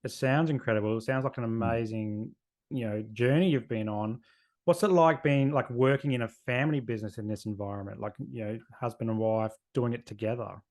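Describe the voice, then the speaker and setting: A man's voice: medium pitch, brisk, darkish tone. Man interviewer with a mid-range voice. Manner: brief affirming interjections. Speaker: podcast host. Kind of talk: interview questions.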